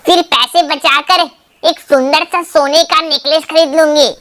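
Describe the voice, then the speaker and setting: Woman voicing a high-pitched sly cartoon crow wife. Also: steady tone, unhurried, a bit bright. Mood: gloating, scheming. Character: sly, thrifty female crow, married, scheming. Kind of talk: Hindi children's cartoon voice acting.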